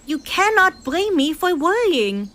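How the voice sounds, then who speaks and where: High-voiced, fretful young witch. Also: big pitch swings, unhurried, fairly bright tone, slight background noise, heavily acted. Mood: worried, hesitant. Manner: hesitant, old-fashioned formal phrasing. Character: worried, insistent young witch sister. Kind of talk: period audio drama dialogue.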